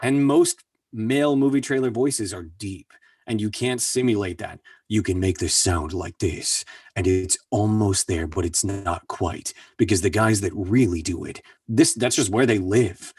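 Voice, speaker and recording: Male voice actor as a podcast guest, slightly low and husky, earnest and animated. Dry and a bit off-mic. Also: a little slow, dry recording. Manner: earnest, energetic, repeats words for emphasis. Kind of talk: casual interview storytelling. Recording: distant mic, dry sound.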